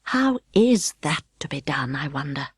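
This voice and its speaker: A woman's voice: sits low, sing-song, measured pace, husky, dry recording, clean studio sound, pitched below the performer's own voice, full character voice. A woman voicing an earnest, polite and puzzled little girl in a storybook, speaking properly. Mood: musing. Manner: proper, earnest, hesitant when challenged.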